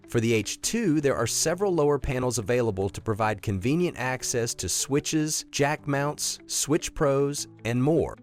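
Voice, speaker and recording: A man reading scripted instructional narration in a clear, methodical, step-by-step way, as the narrator of an installation video. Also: mid-range voice.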